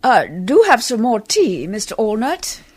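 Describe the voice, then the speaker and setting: Woman playing a prim, animated missionary lady. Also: fairly high pitch, a little slow, breathy edge, heavily acted. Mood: polite unease. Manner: formal, genteel, emphatic. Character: prim, devout missionary woman, proper and indignant. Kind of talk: amateur radio play acting in old Hollywood style.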